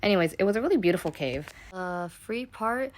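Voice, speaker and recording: A woman's voice: mid-range voice, expressive, brisk. A young American woman narrating her travel vlog in a chatty, rambling way, full of fillers and casual swearing. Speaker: travel vlogger.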